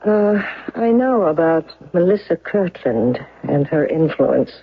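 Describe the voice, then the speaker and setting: An actress playing an earnest, probing woman defense lawyer in a radio mystery drama, questioning in an insistent, deliberate way. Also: low-pitched, expressive, a little hiss or hum, heavily acted. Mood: measured, confiding. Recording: dull, lo-fi old radio broadcast.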